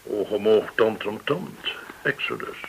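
A man's voice: on the low side, lively intonation, measured pace, vocal fry, fairly bright tone, heavily acted, poor audio. An actor in a radio play playing a courteous, shrewd male go-between, formal, learned and insinuating. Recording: hissy old radio recording.

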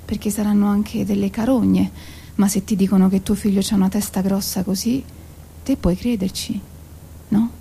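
A woman's voice: mid-range voice, fairly even intonation, moderate pace, gravelly, warm tone, slight background noise, natural voice. An actress playing a coarse, weary working-class mother in a theatrical radio drama, with blunt colloquial talk. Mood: gruff, insistent.

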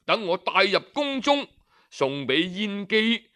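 A male narrator takes on a prince, the king's discreet younger brother, in a storybook tale. He advises in a confiding, measured way, with slow pacing and a clear, smooth tone. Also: high voice, sing-song, bright, forceful tone, exaggerated voice. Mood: confiding advice. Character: discreet, helpful royal younger brother, a scheming go-between. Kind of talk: storybook character dialogue.

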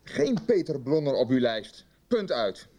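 An actor playing a pompous, stern school director in a radio drama, speaking formally. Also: male voice, medium pitch, sing-song, unhurried, over loud music. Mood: stern, dismissive. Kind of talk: 1990s Dutch radio drama acting.

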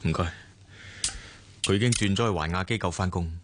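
A man's voice: sits low, brisk, noisy background, close to an everyday voice. A man with a Hong Kong accent acting a devoted, patient businessman husband in a radio drama, earnest and placating. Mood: relaxed.

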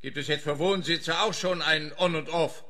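Piercingly sharp, pompous policeman. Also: on the high side, steady tone, normal speed. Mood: smug, insistent. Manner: pompous, pedantic, emphatic. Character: pompous, dim, accusing male police detective. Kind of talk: comic radio play acting.

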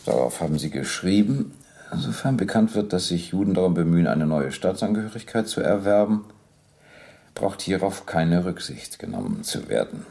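An actor playing a stern, methodical police captain who questions a war criminal and reads out evidence formally in a German radio docudrama. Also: male voice, sits low, vocal fry, darkish tone, exaggerated voice. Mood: methodical, probing. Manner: formal, probing, insistent. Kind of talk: German radio docudrama acting, reading documents aloud.

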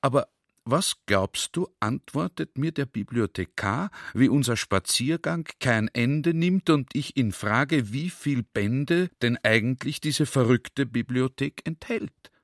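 A man's voice: medium pitch, expressive, dry recording, studio quality. An actor playing a garrulous, naively sly army general who tells his adventure in a chatty, emphatic radio play monologue. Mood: wry, confiding. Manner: chatty, emphatic storytelling, drawn-out quoted speech.

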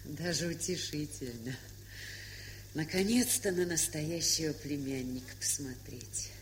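A woman playing a good-humoured, teasing factory director in an audio play, talking in a lively colloquial way. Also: low-pitched, measured pace. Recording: hissy, humming 1970s record.

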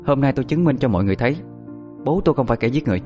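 A man's voice: medium pitch, animated, racing, faint music behind, voice pushed up, close to an everyday voice. A man voicing a stern, scornful woman spirit medium who gives orders in a powerful, authoritative way. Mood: commanding. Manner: imperious, issuing sharp orders.

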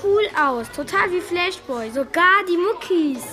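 A woman playing a cocky, excitable schoolboy in a children's radio play, slangy and boastful. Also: very high-pitched, measured pace. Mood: cocky, excited. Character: cocky, excitable schoolboy, loyal best friend.

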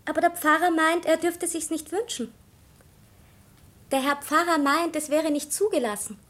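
A woman's voice: sits high, steady tone, normal speed, fairly bright tone. A woman playing a curious village child in a poetic radio drama, reciting short, simple lines and questions. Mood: curious, earnest.

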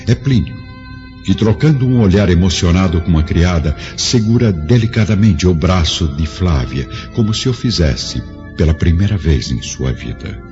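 A man's voice: low voice, expressive, normal speed, warm tone. A man with a Brazilian accent narrating a radio drama in a solemn, literary way. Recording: dull lo-fi audio with music. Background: music.

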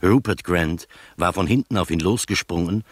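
A man playing a composed gentleman narrator who recounts his own adventure in scripted, literary radio-drama narration. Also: sits low, normal speed, no echo. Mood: measured, recounting. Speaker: first-person narrator of a radio play.

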